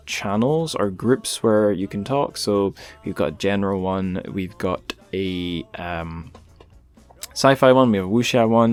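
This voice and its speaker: A man with a fairly low voice hosts a podcast in a casual, informal way. He has a wry, self-deprecating manner, and there is faint music behind him. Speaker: podcast host. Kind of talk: casual podcast talk with plugs.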